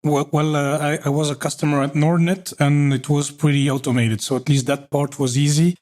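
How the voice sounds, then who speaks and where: A man's voice: fairly high pitch, level tone, fairly quick, darkish tone. A man from Belgium hosting an interview podcast, asking questions conversationally and often backchanneling. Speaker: podcast host. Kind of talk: casual interview talk.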